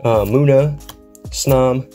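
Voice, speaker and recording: A man's voice: mid-range voice, very slow, dark tone, faint music behind. Congested young man hosting a card vlog. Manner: rambling and upbeat, with frequent ums and uhs. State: congested with a head cold. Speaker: card collecting channel host. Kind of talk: casual pack-opening vlog. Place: indoor room at a desk.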